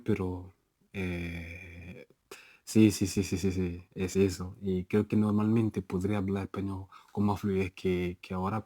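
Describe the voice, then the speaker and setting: A young man with a Nigerian accent talks to camera, his voice sitting low and close on a dry, roomless recording. He stumbles, restarts and laughs off his own mistakes as he goes. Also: warm tone, no echo. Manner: self-correcting, laughs at own slips, frequent restarts. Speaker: language-learning vlogger. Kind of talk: casual self-recorded vlog.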